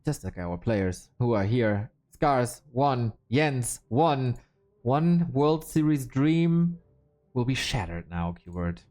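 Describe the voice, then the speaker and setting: A man's voice: mid-range voice, slow. A man casting a live esports match, joking and thanking viewers from chat. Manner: jokey, animated, reads out donations. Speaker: esports caster. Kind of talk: live game commentary with chat shout-outs.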